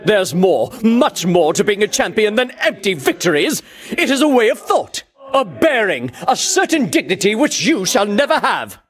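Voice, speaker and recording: An actor playing a pompous, vainglorious champion warrior in a video game, declaiming grandly and scornfully in archaic heroic language. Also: male voice, sits high, big pitch swings, moderate pace. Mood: haughty, defiant. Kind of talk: theatrical video game battle lines.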